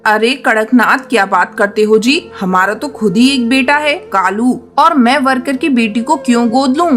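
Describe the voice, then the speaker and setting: A woman's voice: a little high, normal speed, lightly acted. A woman voicing a cruel, scolding crow wife. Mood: scheming. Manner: sharp, scolding.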